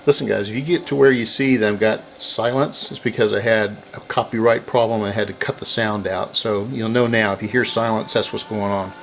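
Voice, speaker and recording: A man's voice: lowish voice, steady tone, a little fast, gravelly, few pauses, rough recording. A man giving a casual hands-on repair narration, explaining and thinking aloud as he works. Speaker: repair video host.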